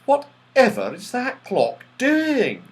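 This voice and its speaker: A man voicing a sweet, excitable young female fairy in a children's storybook, with expressive delivery. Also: very high voice, takes it slowly, voice pushed up, exaggerated voice. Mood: astonished. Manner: expressive, exclamatory.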